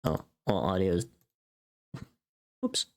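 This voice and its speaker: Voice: deep voice, huge pitch swings, slow. A livestream host chatting casually, rambling and thinking aloud to themselves.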